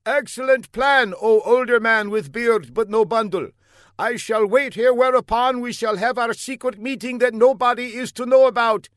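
A man's voice: sits high, expressive, moderate pace, fairly bright tone, no echo, studio quality, voice pushed up. A man voicing a flustered, fawning young bearded courier, speaking stilted, overly formal broken English in a raised voice. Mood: eager.

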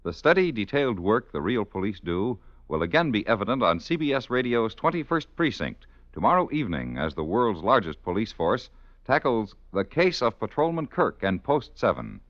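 Low, animated American male radio announcer. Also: moderate pace. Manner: polished, dramatic promotional reading. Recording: muffled, roomy old radio recording.

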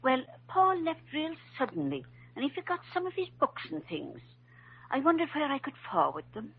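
A woman's voice: sits high, wildly swooping pitch, normal speed, a little hiss or hum, exaggerated voice. A woman acting a feisty, motherly rooming house landlady in a 1940s radio drama, folksy and plain-spoken. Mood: prim. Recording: dull old radio recording.

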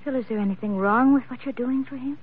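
A woman's voice: a little high, fast, breathy edge, narrow, tinny audio. An actress playing a devoted, anxious young woman in love, pleading and hesitant, in 1940s radio drama style. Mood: worried. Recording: thin, faintly noisy vintage radio recording.

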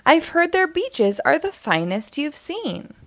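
An easygoing lady hedgehog from a picture book, played by a woman reader, polite and fond of sailing. The voice is fairly high and lilting, with an unhurried pace. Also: sing-song, no room sound, full character voice. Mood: cheerful. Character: easygoing, busy female hedgehog who loves sailing.

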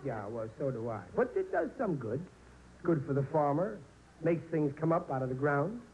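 Bossy, dim blowhard dad, high voice, animated, old radio sitcom on dull recording. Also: male voice, normal speed, slight background noise. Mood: boastful. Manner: blustery, bossy, exasperated. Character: blustering, stubborn, dim working-class father and husband. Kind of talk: 1940s radio comedy acting.

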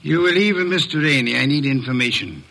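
An actor in a classic radio drama playing a pompous, unflappable, erudite middle-aged detective with formal, precise, dismissive diction. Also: male voice, medium pitch, animated, fairly quick, vocal fry, pushed and bright, heavily acted. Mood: imperious, businesslike. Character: pompous, unflappable, erudite man who runs a detective practice. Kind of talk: 1940s-50s radio drama acting.

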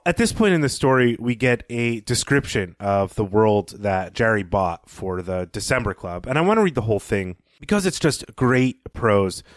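A man co-hosting a book podcast, reading a passage aloud in a slow, measured way and talking about it casually. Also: fairly low pitch, dry recording, clean studio sound. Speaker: podcast co-host.

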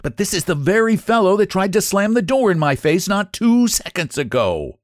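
A man's voice: medium pitch, huge pitch swings, measured pace, darkish tone, no room sound, studio quality. A man voicing a determined, polite country boy in a children's story, with an earnest, protesting manner. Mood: indignant.

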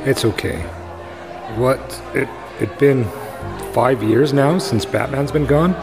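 An actor playing an earnest, noble male superhero in an audio drama, speaking in a measured, steady way. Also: mid-range voice, creaky, music in the background. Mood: subdued, serious.

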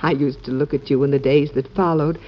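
Gentle devoted first lady, low soft breathy voice, old muffled radio drama. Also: fairly even intonation, brisk. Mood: tender, admiring. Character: gentle, adoring wife of the president. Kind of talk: 1940s radio drama acting.

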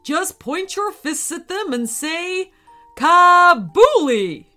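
Woman doing a brisk cheerful wizard teacher. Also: high-pitched, sing-song, sharp tone, faint music behind, heavily acted. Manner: brisk, encouraging. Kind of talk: storybook character voice.

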